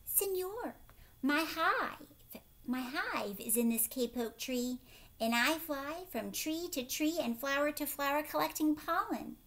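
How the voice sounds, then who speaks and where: A woman's voice: sits high, lively intonation, unhurried, fairly bright tone, pitched above the performer's own voice, full character voice. Woman playing an earnest little bee. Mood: earnest plea. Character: small buzzing male bee, earnest and pleading.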